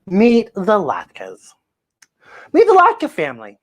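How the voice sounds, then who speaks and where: A woman's voice: medium pitch, wildly swooping pitch, slow pace, breathy edge. A woman hosting a children's story time, reading aloud in a gushing, exclamatory way and often repeating phrases for emphasis.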